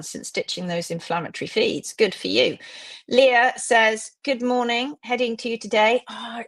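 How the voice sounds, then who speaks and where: A woman's voice: a little high, fairly bright tone. A woman hosting a live stream, talking casually to viewers about feeding and greeting them by name. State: slightly croaky voice. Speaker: host of a live hoof care stream.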